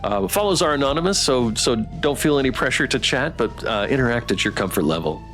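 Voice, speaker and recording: A man's voice: medium pitch, animated, brisk, a bit bright, soft background music. A man chatting casually as a livestream host, hesitant and restarting his phrases, thanking people appreciatively. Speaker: streamer playing and reading a visual novel aloud.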